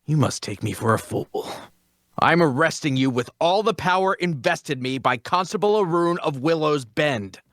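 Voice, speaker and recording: A skeptical lawman adventurer played by a man, fairly high in pitch with very lively intonation. He is sardonic and deliberate, as in a fantasy drama table read. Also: no room sound, clean studio sound. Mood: stern. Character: skeptical, mocking lawman adventurer making an arrest.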